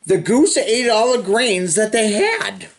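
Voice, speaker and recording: A man reading a children's picture book aloud in an expressive, sing-song way, with drawn-out phrasing and chatty asides. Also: high voice, creaky. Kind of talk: animated storybook read-aloud with chatty asides.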